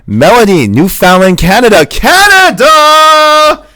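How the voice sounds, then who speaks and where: A young man chats on his live-stream podcast in a lively voice that swings freely up and down. He reads out viewer comments and laughs between them. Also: very high voice, slow pace. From Pennsylvania, USA. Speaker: podcast host. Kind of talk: casual live-stream chat.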